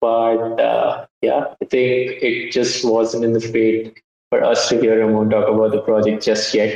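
Host guy, flat low-key voice, laid-back, super echoey dull lo-fi sound. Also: creaky. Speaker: host for the project team. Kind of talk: casual chat in an online audio space.

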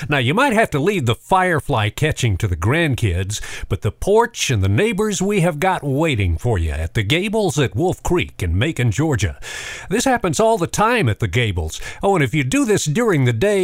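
A man's voice: mid-range voice, no echo, clean studio sound. A man hosting a nostalgia radio show, reading scripted narration and sponsor copy in a conversational, storytelling way. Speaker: radio host.

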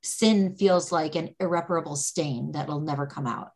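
A woman's voice: deep voice, moderate pace, darkish tone. Woman teaching in a conversational way. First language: English. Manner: explains patiently, with frequent fillers and restarts. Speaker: Torah teacher.

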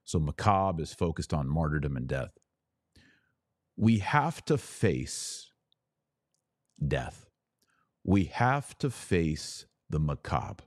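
Low-voiced man teaching religion, emphatic. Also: dry recording, studio quality. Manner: emphatic, deliberate phrasing with dramatic pauses; didactic, preacher-like rhetorical questions. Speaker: podcast host.

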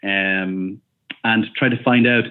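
A man's voice: on the low side, fairly even intonation, takes it slowly. A man in his forties speaking as an interview guest over a remote call, thoughtful and hesitant, with many ums and restarts. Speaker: guest, company founder. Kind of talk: casual interview answers. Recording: tinny phone-like call audio.

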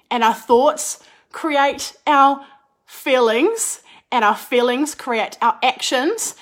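Croaky, chatty high-voiced woman coach. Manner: chatty, animated, says "like" often. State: croaky after a cold. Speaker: business and mindset coach. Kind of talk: casual podcast monologue.

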